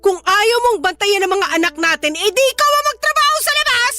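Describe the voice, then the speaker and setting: High-pitched, exasperated nagging Filipina mother. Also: lively intonation, fairly quick, sharp tone, faint music behind, full character voice. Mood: angry, exasperated. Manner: sarcastic, scolding, accusatory. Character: exasperated young working mother nagging her partner. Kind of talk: radio drama acting.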